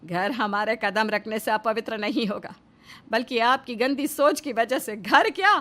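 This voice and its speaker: A woman voicing an outspoken, indignant daughter-in-law in a cartoon, forceful and defiant, with music behind. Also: a little high, moderate pace, natural voice.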